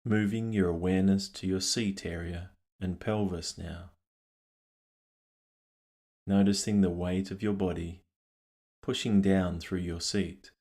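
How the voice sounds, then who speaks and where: Very deep-voiced man guiding meditation calmly. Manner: calm, gentle, unhurried with long pauses. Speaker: meditation guide. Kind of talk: guided meditation, scripted narration.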